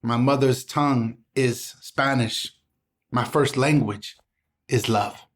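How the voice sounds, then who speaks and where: A middle-aged man from Southern California with a voice of ordinary pitch. He recites spoken-word poetry in a rhythmic cadence, then shifts to easy, reflective conversation. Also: takes it slowly. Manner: rhythmic spoken-word delivery, mixing in Spanish words. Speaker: poet and guest.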